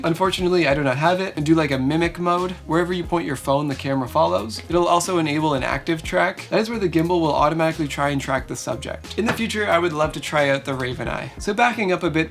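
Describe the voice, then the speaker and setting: A young man in his twenties with a head cold and a slightly nasal voice, chatting quickly and cheerfully to camera in a dark studio. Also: fairly high pitch, creaky. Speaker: camera gear reviewer. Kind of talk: casual product review to camera. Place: dark indoor studio backdrop.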